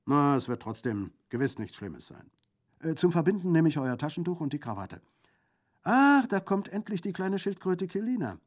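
A roguish Corsican bandit in an audiobook story. The voice is pushed high and loud, full of exclamations. He jokes gruesomely and mockingly, with jovial relish. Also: big pitch swings, normal speed. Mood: brisk, bantering. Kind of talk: audiobook character acting.

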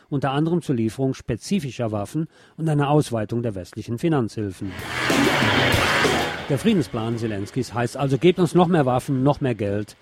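A man's voice: medium pitch, warm tone, dry recording. A man reading scripted news commentary in an opinionated way. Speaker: news presenter.

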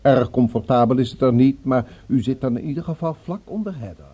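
An actor in a radio drama playing a courteous, cultured villain with a politely menacing, formal and musing manner. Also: male voice, mid-range voice, a little fast, dark tone, breathy edge, dull audio, natural voice. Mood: polite, musing threat.

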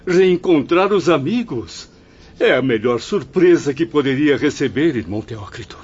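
Homesick grateful spirit, highish raspy voice, muffled radio play. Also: male voice, expressive, moderate pace, darkish tone. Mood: moved, joyful. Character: nostalgic, grateful male spirit yearning for homeland. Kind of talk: scripted radio drama acting. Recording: muffled audio.

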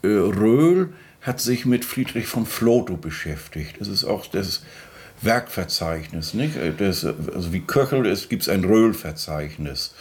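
A music expert with a fairly low voice, talking as if in an interview. He explains informally, hesitating and feeling for words in a mock radio documentary. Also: a little mellow. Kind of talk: spontaneous-sounding interview commentary in a mock documentary.

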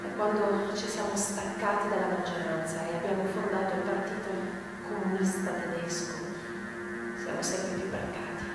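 An actress playing a weary, hunted woman revolutionary, reflective and nostalgic, in a theatrical monologue with dramatic pauses. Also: mid-range voice, steady tone, close to an everyday voice. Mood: weary, worried. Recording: echoey hall, distant, loud music. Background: loud music.

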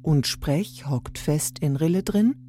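A quirky audio guide for an experimental radio play. It delivers clipped instructions slowly and deadpan, in a mellow tone, over a faint noisy hum. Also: female voice, deep voice. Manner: deadpan, clipped broken grammar.

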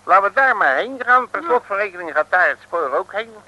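A man's voice: high voice, animated, a little fast. An actor playing a cocky, bumbling working-class Dutch detective who blusters and muddles his words, in a vintage comic radio serial. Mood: muddled, self-assured. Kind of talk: 1950s-60s comic radio serial acting. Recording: hissy old radio recording.

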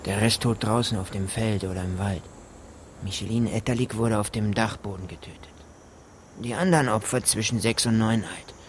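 An actor playing a gruff, evasive rural gamekeeper in a German radio drama, speaking in clipped, offhand colloquial phrases. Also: male voice, lowish voice, moderate pace, slight background noise, lightly acted.

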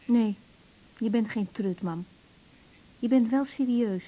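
Casting: young Dutch woman, high and breathy, practical coaxing daughter, naturalistic radio play, slightly muffled recording. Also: slow. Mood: firm, encouraging. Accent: Dutch (Netherlands). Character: brisk, encouraging grown daughter advising her mother. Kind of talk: naturalistic 1980s radio drama acting.

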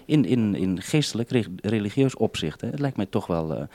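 A man's voice: on the low side, expressive, fairly quick, dark tone. A man hosting an unscripted two-way radio conversation, speaking hesitantly and often interrupting himself. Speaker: radio programme maker and host.

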